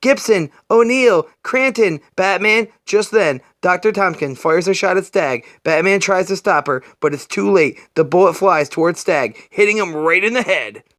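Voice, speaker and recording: A man's voice: high voice, normal speed, hardly any pauses, a bit bright, dry recording, clean studio sound. A man narrating a superhero comic as a dramatic scripted reading.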